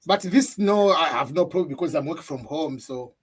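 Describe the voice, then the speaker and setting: A man from Tanzania chatting casually as a guest on a live stream from home, relaxed and laughing often. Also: on the high side, brisk, husky. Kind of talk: casual chat. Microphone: computer mic.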